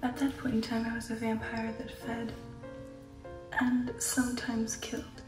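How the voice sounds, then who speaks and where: A woman voicing a poised, sensual aristocratic vampire in a breathy, whispery ASMR storytelling style, intimate and genteel, with slow dramatic pauses. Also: a little high, completely flat delivery, lightly acted. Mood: yearning, sultry. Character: female aristocratic vampire, poised, sensual, recounting her past. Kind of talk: ASMR roleplay storytelling, whispered and soft-spoken. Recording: roomy echo, distant, music bed. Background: music.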